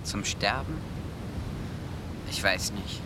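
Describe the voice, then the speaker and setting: A man's voice: on the low side, slow pace, heavy background noise. A young man playing a hopeful, modest teenage boy on a polar expedition in a radio play, speaking plainly and a little hesitantly. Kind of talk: German radio play acting.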